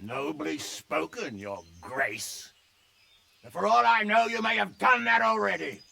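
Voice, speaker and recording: A man's voice: high-pitched, animated, unhurried, creaky, bright, forceful tone, full character voice. An actor playing a wry, hearty, sardonic medieval nobleman and commander in a video game. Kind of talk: dramatic video game acting.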